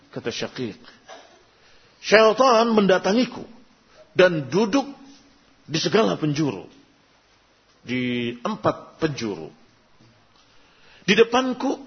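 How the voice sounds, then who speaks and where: A somewhat high-pitched man with an Indonesian accent delivers an Islamic sermon. He is didactic, setting out scripture and its explanation in short, measured phrases. The recording is a little muffled and lo-fi. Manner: didactic, reciting quotations then translating and repeating in short measured phrases. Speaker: Islamic preacher. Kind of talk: sermon explaining an Arabic religious text line by line. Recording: dull, lo-fi sound.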